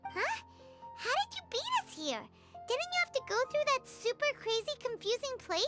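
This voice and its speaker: A woman voicing an excited little child in a video game, eager and wide-eyed. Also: very high-pitched, normal speed. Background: loud music.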